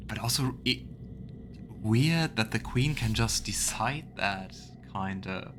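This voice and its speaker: Guy doing a casual let's play, kinda low voice, bit of game noise behind him. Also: lively intonation, takes it slowly. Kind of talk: casual game commentary, reading dialogue choices aloud.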